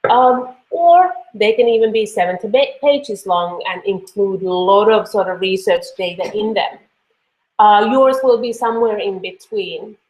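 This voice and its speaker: A woman with a Finnish accent explains a course assignment over a video call, speaking casually and circling back with fillers and false starts. Her voice sits at an ordinary middle pitch for a woman, and the room around her rings with a noticeable echo. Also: unhurried, darkish tone. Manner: conversational, many fillers and restarts. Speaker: lecturer. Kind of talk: online course lecture. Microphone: computer mic on a video call. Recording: echoey room.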